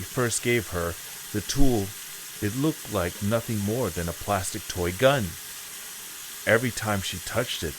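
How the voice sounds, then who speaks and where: Casting: low-pitched man as solemn story narrator, measured and dramatic, clear background noise.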